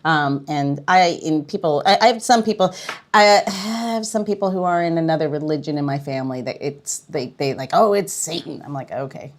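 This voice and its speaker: A woman's voice: low voice, normal speed. A woman hosting a casual podcast chat, telling a story and laughing often.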